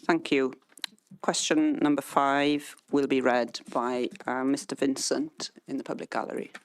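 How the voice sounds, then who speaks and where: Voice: mid-range voice, fairly even intonation, unhurried, no room sound. A meeting chair keeping a council meeting moving with brief, procedural cues. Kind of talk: formal chairing.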